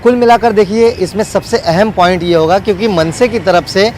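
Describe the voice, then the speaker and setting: A man's voice: high-pitched, moderate pace, a little mellow, a little hiss or hum. A man giving a wordy, unscripted live news report as a field reporter, often repeating set phrases.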